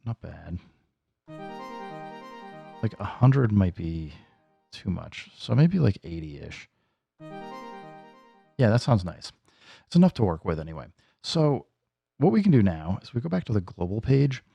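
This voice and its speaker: A man's voice: fairly low pitch, sing-song, a little slow, gravelly, long pauses, highs cut off. A man casually teaching music gear hands-on, thinking aloud informally as he demonstrates. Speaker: music gear tutorial host. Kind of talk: casual hands-on software tutorial.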